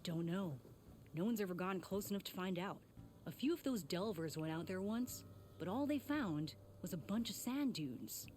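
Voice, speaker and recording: A woman's voice: lowish voice, lively intonation, moderate pace, a little mellow, a little hiss or hum, close to an everyday voice. An actress playing a worried, guilt-ridden female tinkerer in a video game, speaking in an earnest, wry, conversational way. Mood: ominous, hushed. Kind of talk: video game character acting. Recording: faint music behind the voice.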